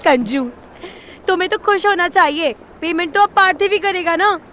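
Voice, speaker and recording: A woman playing a bossy, sharp-tongued young city woman in an audio drama, assertive and switching between Hindi and English. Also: very high-pitched, lively intonation, normal speed, a little hiss or hum. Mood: teasing.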